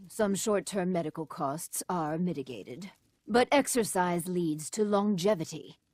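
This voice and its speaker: An actress playing an officious, coldly pragmatic overseer in a video game, speaking formally and deliberately. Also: female voice, fairly low pitch, a little mellow, dry recording, full character voice. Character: officious, coldly pragmatic female vault overseer, measured and scheming. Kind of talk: video game character dialogue.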